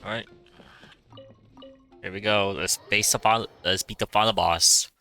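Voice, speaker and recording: A man's voice: fairly low pitch, steady tone, measured pace, bright, forceful tone. A man giving casual, rambling playthrough commentary as a game streamer, repeating himself as he goes. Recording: game music behind.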